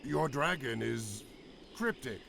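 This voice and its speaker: A man playing a gruff, blunt, battle-eager warrior lieutenant in a video game cutscene. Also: mid-range voice, lively intonation, slow pace, fairly bright tone, slight background noise, heavily acted. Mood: wary.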